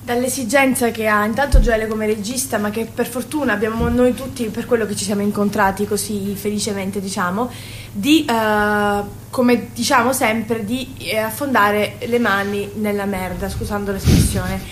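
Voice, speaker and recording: A woman's voice: mid-range voice, fairly even intonation, normal speed. A woman answering in a conversational radio interview, emphatic and often rephrasing herself as she goes. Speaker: stage actress being interviewed. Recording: faint ambient background noise.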